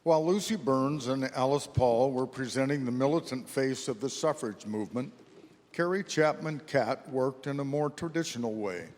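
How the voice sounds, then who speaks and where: A man narrates a staged historical reading from a script. His voice sits at an average pitch, and it rings with the long reverb of a large hall. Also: normal speed, darkish tone, a little hiss or hum.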